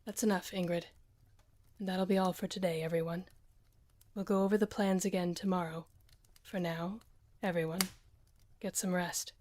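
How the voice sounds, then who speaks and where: Woman playing low-voiced commanding fantasy general. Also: steady tone, a little mellow, natural voice. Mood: calm authority. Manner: firm, authoritative, measured. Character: stern, loyal, commanding senior fantasy general. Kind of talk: fantasy audio roleplay acting.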